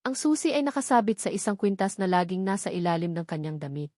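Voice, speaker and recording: A woman's voice: mid-range voice, very animated, fast talker, dark tone, no room sound. Filipino woman narrating, close dry sound. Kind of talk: dramatic scripted story narration.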